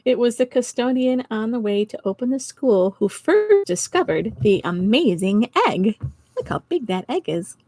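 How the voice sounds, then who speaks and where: A middle-aged or older woman reading a storybook to children, expressive and giggly, pausing to chat with her listeners. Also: a little high, no echo. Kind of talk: storytime read-aloud for children. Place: small indoor home office.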